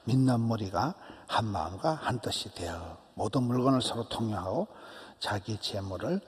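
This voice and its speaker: A man's voice: on the low side, moderate pace. A man in his sixties with a Gyeongsang accent, a pastor giving an early-morning sermon in punchy repeated phrases that sometimes drop to a whisper. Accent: Gyeongsang Korean. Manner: animated, emphatic preaching with short repeated phrases and drops to a whisper. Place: church sanctuary. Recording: church hall reverb.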